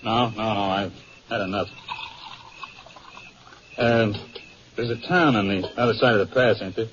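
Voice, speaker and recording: An actor playing a touchy, cold-blooded young outlaw with an American accent, guarded and curt, in 1950s radio western style. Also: male voice, fairly low pitch, a little slow, lightly acted. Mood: defensive. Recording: dull, hissy vintage radio recording.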